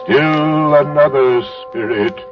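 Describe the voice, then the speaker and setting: Slow, solemn old spirit. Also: male voice, on the high side, music in the background. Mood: grave. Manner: grave, deliberate, ominous. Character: solemn, aged dying male spirit. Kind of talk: 1930s radio acting. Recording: dull lo-fi 1930s radio recording.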